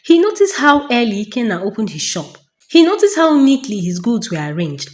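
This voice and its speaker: A woman narrating a folktale as a storyteller, in a dramatic, deliberate and suspenseful scripted style with pauses. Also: a little high, very animated, darkish tone.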